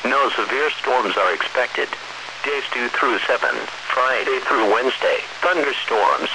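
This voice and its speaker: Synthetic American man on noisy weather radio. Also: medium pitch. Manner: measured, impersonal synthesized reading. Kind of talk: automated scripted weather bulletin.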